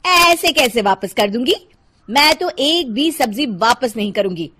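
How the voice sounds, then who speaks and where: A snappish cartoon housewife, acted by a woman, high and animated in pitch. She scolds haughtily and bluntly, quick to quarrel over small things. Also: slightly rough, heavily acted. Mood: haggling, angry.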